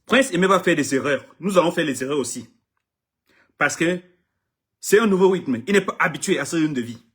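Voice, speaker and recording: A husky, slightly high-voiced middle-aged man from Cameroon, native English speaker, delivering an impassioned address in French. He stresses his points, repeats phrases and trips over words as he defends his artist. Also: lively intonation, brisk, a bit bright. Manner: emphatic, repeats himself, stumbles over words. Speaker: artist manager.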